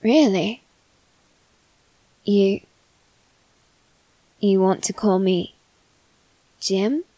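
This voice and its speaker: A silky young male demon, played by a woman, speaks softly and courteously straight to the listener in an ASMR roleplay. The delivery is slow and unhurried, at an average pitch, close to the mic in a dry, dead room. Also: no room sound, rough recording. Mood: coaxing. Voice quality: soft, measured and courteous.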